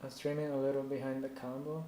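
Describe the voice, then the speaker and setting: A man's voice: medium pitch, little pitch movement, quick pace, warm tone. Echoey Puerto Rican streamer, native Spanish-speaking man. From Puerto Rico. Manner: rambling, thinking aloud while reading chat. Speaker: live stream host giving PC troubleshooting advice. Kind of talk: casual live stream chat. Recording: echoey room with faint noise.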